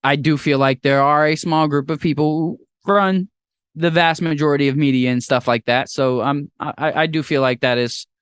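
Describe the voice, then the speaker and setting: A young man hosting a podcast in casual chat, talking in an animated, rambling way with playful jumps into a high voice. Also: normal speed, smooth, dry recording, studio quality. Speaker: podcast host.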